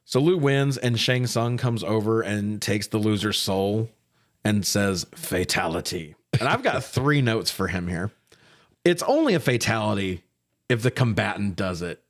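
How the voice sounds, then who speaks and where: A man's voice: fairly low pitch, animated, unhurried. A man co-hosting a casual, opinionated film review in slangy, profane conversational speech. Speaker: podcast co-host reviewing films.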